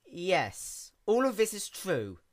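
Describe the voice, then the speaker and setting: A woman's voice: medium pitch, huge pitch swings, slow, voice pushed up. A woman voicing a nerdy young female sea pony mechanic who explains things plainly in a story reading. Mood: matter-of-fact, earnest.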